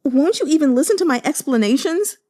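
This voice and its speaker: A woman's voice: sits high, animated, a little fast, dark tone, voice pushed up. A woman voicing a pampered young man who sobs and stammers as he pleads, breathless and tearful. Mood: sobbing pleading. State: sobbing.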